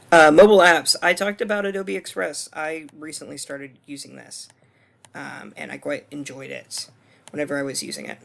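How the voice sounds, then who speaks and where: A man in his twenties with a hoarse voice, talking through a course screencast reflection in a casual, hesitant way full of ums and restarts. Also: fairly high pitch, a bit bright. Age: 20s. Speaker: student.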